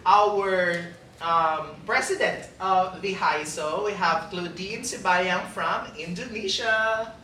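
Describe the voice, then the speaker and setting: A woman's voice: lowish voice, takes it slowly, pushed and bright. A woman, co-hosting a campus talk show in an upbeat, chatty way. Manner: upbeat, chatty, laughs often. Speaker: co-host. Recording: roomy, distant mic, faint noise.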